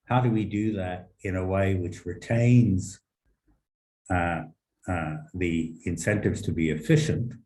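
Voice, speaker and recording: A man giving formal policy remarks as a panel speaker, measured and deliberate with long halting pauses and erudite phrasing. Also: low voice, darkish tone. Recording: roomy echo with some background noise.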